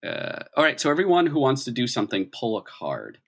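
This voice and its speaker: A man's voice: medium pitch, wildly swooping pitch, a little fast, creaky. A man improvising narration as a tabletop game master, explaining things in a conversational way.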